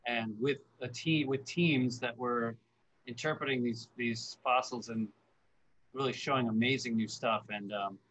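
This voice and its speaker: A man's voice: medium pitch, fairly even intonation, unhurried, a bit bright, low-quality recording. A man, a paleoartist guest on a video call from his workshop, speaking hesitantly and repeating words. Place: workshop studio. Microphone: video call webcam.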